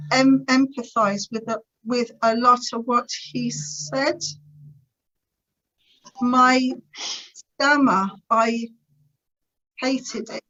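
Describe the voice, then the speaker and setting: A woman's voice: a little high, lo-fi sound. A woman in her fifties giving a personal conference talk over a video call, stammering with repeated sounds and blocks. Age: 50s. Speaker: conference speaker.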